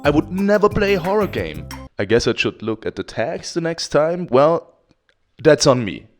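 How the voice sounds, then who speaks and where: A man talks through a video game review with very lively, swooping intonation. He is theatrical and self-deprecating and swears freely. Music plays clearly behind his voice. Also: on the high side, normal speed. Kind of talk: casual, profane review commentary over gameplay. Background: background music.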